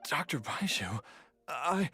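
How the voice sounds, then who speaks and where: An actor playing a dazed, timid father in a video game, speaking haltingly and humbly. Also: fairly high pitch, sing-song, bright, forceful tone, faint music behind, heavily acted. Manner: hesitant, halting.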